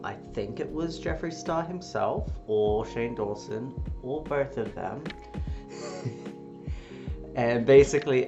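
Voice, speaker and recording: A sniffly, slightly sick vlogger with a gravelly, creaky voice rants energetically and swears freely. The sound is dull and lo-fi, and clear guitar music plays underneath. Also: mid-range voice. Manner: energetic, swearing, with frequent cheers and laughs. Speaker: YouTuber. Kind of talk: casual opinion vlog.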